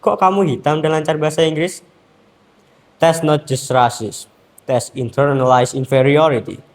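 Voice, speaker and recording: A teenage student from Indonesia with an Indonesian accent, giving an earnest, rhetorical competition speech with emphatic pauses. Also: male voice, a little high, steady tone. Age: teens.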